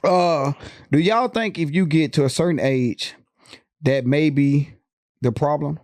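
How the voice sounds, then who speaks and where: A middle-aged man co-hosting a podcast, blunt and full of slang as he puts questions to the others. His voice sits a little high for a man. The sound is dry and close, with no room around it. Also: a little slow, darkish tone, dry recording. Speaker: podcast co-host. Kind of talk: casual chat.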